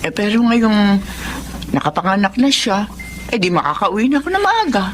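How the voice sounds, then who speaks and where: An actress playing a devoted, plain-spoken housemaid and nanny in a drama, respectful and folksy, with a Filipino accent. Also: female voice, mid-range voice, very animated, moderate pace, a little hiss or hum, lightly acted. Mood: apologetic. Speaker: lead actress and narrator. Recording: music behind the voice.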